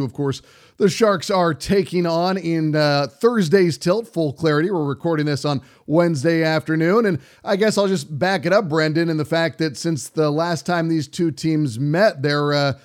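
Casting: man with a higher-pitched voice, pregame sports host, wordy and fluent on air. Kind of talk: pregame show hosting and commentary.

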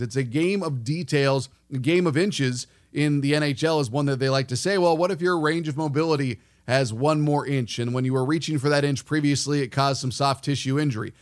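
A man hosting a sports show, talking conversationally as he gives hockey commentary and puts questions to a guest. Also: medium pitch.

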